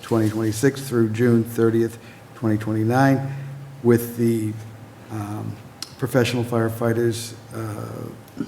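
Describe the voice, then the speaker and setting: A man reads formal articles aloud at a committee meeting in a flat monotone that barely rises or falls. The room is echoey with a long reverb, and there is a faint hiss underneath. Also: on the low side, a little slow, creaky. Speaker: committee member reading articles.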